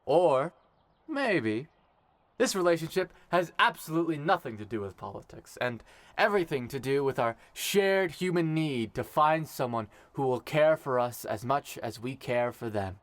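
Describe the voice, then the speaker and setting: A man playing a dignified, sincere young emperor in an audio drama, speaking in a measured, formal way. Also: medium pitch, animated, dry recording, lightly acted. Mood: solemn, sincere. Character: warm, dignified young emperor officiating a wedding.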